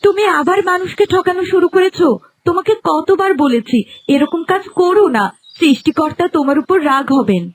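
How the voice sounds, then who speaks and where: A woman's voice: extremely high pitch, brisk, slight background noise. A woman playing a stern, principled village wife in a cartoon moral story, reproachful and moralising. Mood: scolding. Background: background music.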